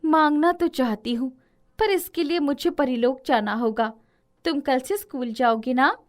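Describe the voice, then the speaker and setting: Casting: kindly forest fairy, played by a woman, high-voiced, gentle and reassuring, for storybook audio. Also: a little slow. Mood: wistful.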